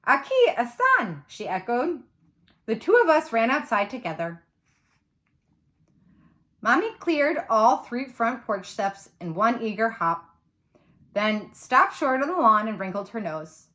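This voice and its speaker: Female read-aloud narrator, teacherly and expressive, slightly high pitch, children's fiction. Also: unhurried, pauses often.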